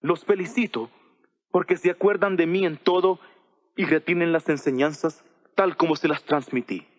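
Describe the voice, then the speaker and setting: Higher-voiced apostle teaching his church , a man acting. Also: steady tone, normal speed, lightly acted. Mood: measured, instructive. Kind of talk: dramatized audio Bible reading. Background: music.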